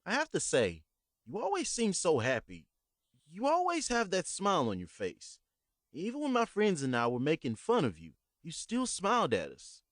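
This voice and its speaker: A man's voice: high voice, huge pitch swings, normal speed, no echo, studio quality, pitched above the performer's own voice. A man voicing his younger self, a nervous and earnest young man speaking up.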